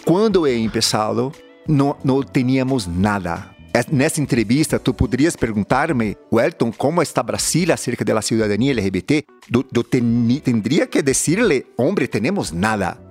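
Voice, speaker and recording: A man's voice: medium pitch, animated, moderate pace, a little mellow, faint music behind. A Brazilian man who speaks Portuguese natively, giving interview answers in a second language. He talks with passion but often stops to search for words. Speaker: activist guest.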